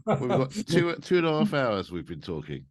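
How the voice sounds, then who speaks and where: A man's voice: medium pitch, wildly swooping pitch, moderate pace. A man hosting an interview, friendly, asking short questions and laughing often. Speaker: interview host. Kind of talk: casual interview.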